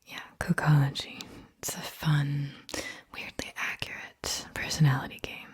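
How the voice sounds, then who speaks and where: A woman speaks in a steady, breathy whisper, pitched on the low side. She hosts in an ASMR style, guiding the listener through a visualization with gentle instructions. She addresses the listener directly and often checks in with small soft questions. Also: unhurried.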